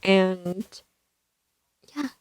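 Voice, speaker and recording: Young woman vlogger, naturally soft voice, middle register, chatty and loose, dead-dry close mic. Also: mid-range voice, lively intonation, extremely slow, no room sound. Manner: rambling, many fillers and restarts. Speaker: vlogger reviewing a film. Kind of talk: casual chatty review. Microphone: stand mic.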